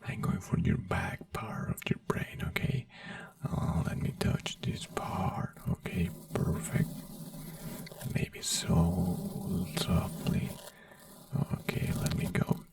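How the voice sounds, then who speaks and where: An ASMR creator in a doctor roleplay, whispering softly in slow, soothing, simple reassuring phrases. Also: medium pitch, very animated, gravelly, no room sound, distant mic.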